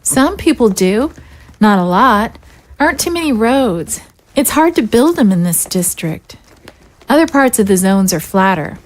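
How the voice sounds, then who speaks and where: An actress playing a worried, hesitant young daughter from a rough settlement in an audio drama. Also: female voice, fairly high pitch, big pitch swings, a little slow. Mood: hesitant, subdued.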